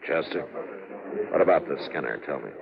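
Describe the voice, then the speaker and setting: An actor playing a stern, watchful frontier marshal in a 1950s radio western, asking terse, probing questions. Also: male voice, a bass voice, a little fast, gravelly, dull audio, a crowd in the background. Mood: grave, thoughtful. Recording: thin old 1950s radio recording, background noise.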